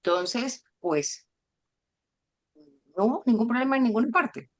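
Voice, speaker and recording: A woman born in Venezuela and raised in Madrid, teaching a spiritual workshop over a video call in an expressive, storytelling way. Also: on the high side, a little slow. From Venezuela and Spain. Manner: expressive, warm storyteller who acts out dialogue. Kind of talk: informal spiritual talk.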